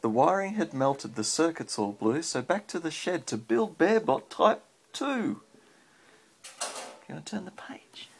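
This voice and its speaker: Dad reading a kids book out loud, lively up and down voice, slow, bit of noise on a phone recording. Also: male voice, a little high, vocal fry. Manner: encouraging, prompting with questions. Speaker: father reading a picture book to child. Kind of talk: read-aloud storytelling with casual chat to a child. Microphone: handheld phone. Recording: faint background noise, home phone recording.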